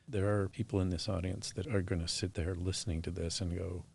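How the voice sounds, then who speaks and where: A man's voice: deep voice, level tone, fairly quick, creaky, darkish tone, slight background noise. A man hosting a podcast interview, speaking in an earnest, supportive, emphatic way. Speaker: podcast host. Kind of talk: interview conversation.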